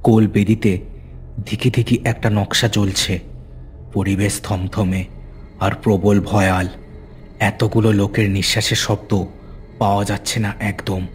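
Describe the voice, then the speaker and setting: Low-voiced male horror story narrator. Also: little pitch movement, unhurried, long pauses, a little hiss or hum. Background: music.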